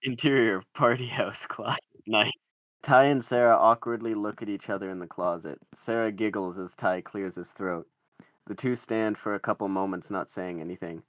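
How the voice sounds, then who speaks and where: Casting: male script narrator, very low pitch, dry close recording. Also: steady tone, normal speed, a bit bright, dry recording. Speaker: narrator reading stage directions. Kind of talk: scripted narration at a film table read.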